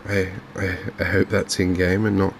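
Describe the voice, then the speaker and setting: Softly spoken English male game host, flat and hushed delivery, gravelly fry, faint background noise. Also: low voice. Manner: gentle, unhurried, hushed. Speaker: gaming video host. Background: fishing game ambience.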